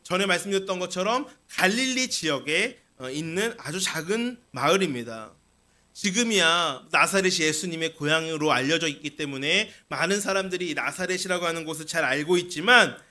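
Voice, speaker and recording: A man's voice: sits high, bright, forceful tone. A man preaching a sermon as a pastor, explaining scripture with rhetorical questions and repeated key phrases.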